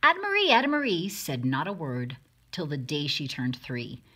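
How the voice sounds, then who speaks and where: A woman storyteller reads a children's picture book in rhyme. Her voice sits low and has a husky edge, yet it is bright and forward. She gives the lines expressive, dramatic emphasis. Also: normal speed. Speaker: storybook reader.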